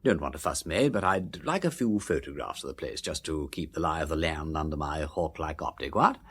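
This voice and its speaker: An actor playing a jaunty, inquisitive aristocratic sleuth in a radio drama, with an upper-class English accent and breezy old-fashioned slang. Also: male voice, rumbling low, expressive, fairly quick, fairly bright tone, slightly rough, exaggerated voice. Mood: breezy, affable.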